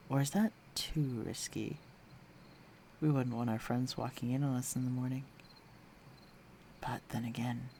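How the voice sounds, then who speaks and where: A man's voice: medium pitch, a little mellow, a little hiss or hum. A man playing a flirtatious, teasing villain with a secretly tender side, speaking intimately to the listener in an audio roleplay. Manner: teasing, coaxing, breathy murmurs.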